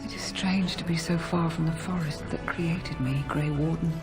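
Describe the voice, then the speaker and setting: An actor voicing an ancient forest spirit creature in a fantasy game, slow, solemn and poetic. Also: mid-range voice, fairly even intonation, loud music behind the voice, lightly acted. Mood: solemn foreboding. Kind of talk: video game cutscene acting.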